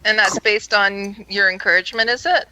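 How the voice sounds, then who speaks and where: Female interviewer, podcast co-host, forceful bright tone, close dry recording, conversational delivery. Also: medium pitch, steady tone, normal speed, no room sound, lo-fi sound.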